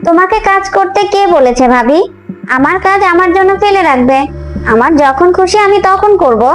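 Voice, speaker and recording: A woman's voice: very high voice, expressive, moderate pace. A woman voicing a pert, argumentative young daughter-in-law in a fairy-tale cartoon. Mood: defiant quarrelsome. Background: background music.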